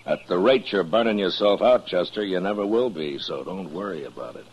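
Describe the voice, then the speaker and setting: An actor playing a weary, wry frontier marshal in a radio western, dry and laconic in manner. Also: male voice, low voice, animated, brisk, breathy edge. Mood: teasing. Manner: dry, laconic, gently teasing. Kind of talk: 1950s radio western acting. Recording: dull, faintly noisy old radio recording.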